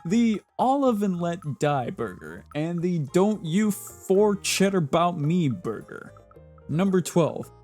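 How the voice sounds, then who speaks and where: A man's voice: sits high, very animated, takes it slowly, a little mellow. A man narrating a scripted facts video in a chatty, theatrical way, with playful asides. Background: background music.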